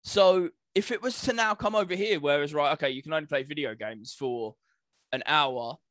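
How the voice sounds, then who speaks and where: A man's voice: on the high side, moderate pace, fairly bright tone, no echo. A young British man in casual podcast chat as co-host, informal and acting out imagined exchanges. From United Kingdom.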